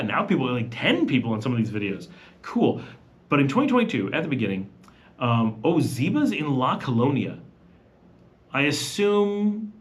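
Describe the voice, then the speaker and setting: A man's voice: mid-range voice, dull audio. A man hosting a casual evening livestream from home, chatting loosely and reading viewers' comments aloud. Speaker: livestream host. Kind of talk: casual live Q&A chat.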